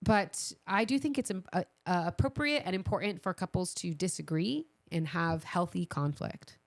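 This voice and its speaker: Woman, slightly low voice, vocal fry, casual podcast chat, co-host, dry close recording. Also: normal speed, dry recording. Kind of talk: casual conversational chat with a partner.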